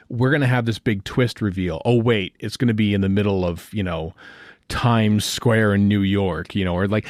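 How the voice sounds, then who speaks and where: Guy podcast host, lowish voice, rambly casual chat, really dry close sound. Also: darkish tone, no room sound. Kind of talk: casual panel chat about television.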